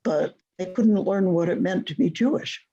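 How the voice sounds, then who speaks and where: A teacher leading a conversation class, speaking in a slow, deliberate way with long pauses, over a video call. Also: medium pitch, mellow, dull audio.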